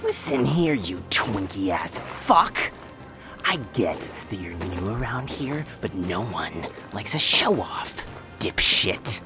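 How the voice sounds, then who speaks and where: A man's voice: lowish voice, very animated, slow, music in the background. A man voicing a crude, menacing alter ego, hissing insults in an audio drama. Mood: menacing, contemptuous. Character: crude, hostile, foul-mouthed male alter ego of doctor. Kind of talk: fantasy audio roleplay acting.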